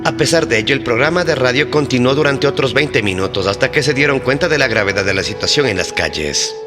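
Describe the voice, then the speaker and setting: A man hosts and narrates a scripted mystery documentary. His mid-pitched voice tells the story in a formal, measured, dramatic way, and music plays clearly underneath. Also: animated. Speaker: narrator and host of a mystery channel.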